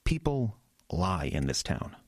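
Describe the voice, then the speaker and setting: Casting: earnest young pastor, lowish voice, animated pitch, halting and troubled, radio play. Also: male voice, dry recording, clean studio sound, heavily acted. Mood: earnest, hesitant. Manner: halting, stammering, trailing off. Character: earnest, hesitant young small-town pastor, kind and troubled. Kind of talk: audio drama dialogue.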